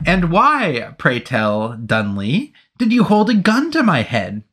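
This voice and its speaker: A man voicing an impatient aristocratic gentleman who speaks in a clipped, imperious way. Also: a little high, huge pitch swings, slow pace, slightly rough, voice pushed up, full character voice. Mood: curt imperious.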